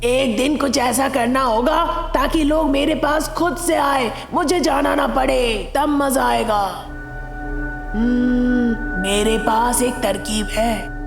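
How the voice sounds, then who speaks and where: A woman voicing a bloodthirsty female cave demon, menacing and gloating, in a story with drawn-out words. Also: on the high side, steady tone, gravelly, echoey room, long reverb tail, faint music behind. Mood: scheming.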